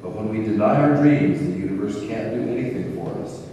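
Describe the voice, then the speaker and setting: A man playing a calm, assured spiritual teacher in an amateur radio play, speaking in measured, preacherly reassurances. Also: on the low side, lightly acted. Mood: calm, reassuring. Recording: distant echoey hall, faint room noise.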